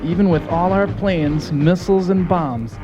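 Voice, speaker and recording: A man's voice: high voice, unhurried, dull audio. A man playing a folksy, gung-ho soldier who narrates his team's mission in a scripted audio drama. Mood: earnest storytelling. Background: music.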